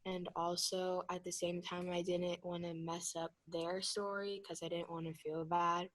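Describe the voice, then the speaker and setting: Woman, student, earnest, somewhat low pitch, class discussion. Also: level tone, a little slow. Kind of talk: sharing thoughts in class.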